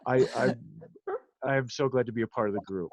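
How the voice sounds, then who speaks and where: A man who does voice acting, telling casual stories in a halting, repetitive way on a group video call. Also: medium pitch, darkish tone, slight background noise. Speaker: volunteer audio drama voice actor. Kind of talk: casual anecdote on a group live stream. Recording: dull lo-fi call audio.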